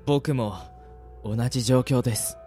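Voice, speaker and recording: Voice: mid-range voice, unhurried, music in the background, lightly acted. An actor playing a polite, timid young male captive in a radio drama, hesitant and bewildered. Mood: subdued, puzzled.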